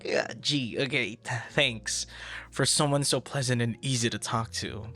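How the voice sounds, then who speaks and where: A man's voice: mid-range voice, measured pace, bright, forceful tone, faint music behind. A man performing an audio roleplay as a friendly, easily flustered young traveler chatting intimately and casually with a stranger, teasing and stammering playfully. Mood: sheepish, teasing. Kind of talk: intimate boyfriend-style ASMR roleplay, casual conversation.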